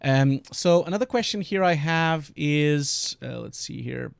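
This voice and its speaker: Portuguese-accented guy streaming, chatty, lots of ums, kinda high voice, dry close mic. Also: expressive, a little slow, gravelly, smooth, no room sound. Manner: chatty, rambling with many fillers and restarts. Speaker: streamer and compositing teacher. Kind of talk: casual live-stream chat.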